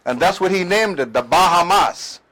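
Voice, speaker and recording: A man's voice: high voice, expressive, normal speed, a bit bright, rough recording. A man, a guest historian explaining at length in a conversational interview, emphatic, with fillers and restarts. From Turks and Caicos Islands.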